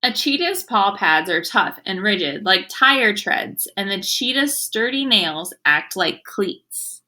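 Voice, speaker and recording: A woman's voice: medium pitch, very animated, slow, bright, forceful tone. A woman teacher reading aloud to young children, explaining as she goes in an instructive way and sounding out words. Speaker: elementary school teacher.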